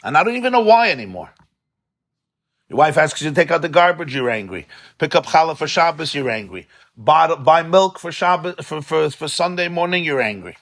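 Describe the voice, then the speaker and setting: Impassioned rabbi teaching a class. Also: male voice, fairly high pitch, sing-song, a little fast, gravelly, fairly bright tone. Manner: impassioned, conversational, repeats words for emphasis; sprinkles Yiddish and Hebrew terms. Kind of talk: informal religious lecture to a live group. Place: study hall.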